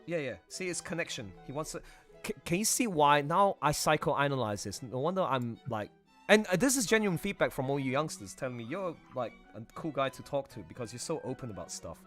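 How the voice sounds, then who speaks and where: A man's voice: on the high side, animated, fairly quick, a bit bright. A middle-aged man hosting a casual livestream chat, chatty and frank, often checking in with tag questions. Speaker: livestream host. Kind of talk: casual, candid group chat. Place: live online voice chat stream. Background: music playing behind the voice.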